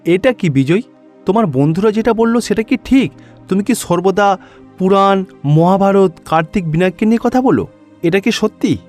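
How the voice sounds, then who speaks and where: Concerned, indulgent cartoon dad man acting, raised high pitch, emphatic questions, faint music. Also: expressive, moderate pace, darkish tone. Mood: questioning. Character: concerned, indulgent father of a devout boy. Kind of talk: cartoon story dialogue.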